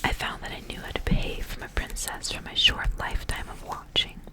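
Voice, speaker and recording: A woman doing ASMR, reading a story aloud in a soft, breathy whisper. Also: fairly quick.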